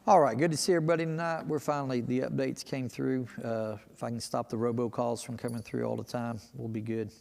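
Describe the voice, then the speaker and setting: A raspy, gravelly male pastor teaches through a midweek Bible lesson, sounding tired and halting, filling gaps with "uh". His voice carries a long reverb off the walls of a church hall. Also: medium pitch, level tone, a little mellow. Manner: halting, many "uh" fillers. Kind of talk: casual Bible teaching sermon. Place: church sanctuary.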